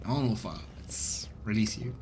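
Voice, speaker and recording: A man's voice: fairly low pitch, normal speed, darkish tone. Man streaming a game over noticeable noise. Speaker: let's play streamer. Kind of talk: casual game stream with voiced read-aloud narration.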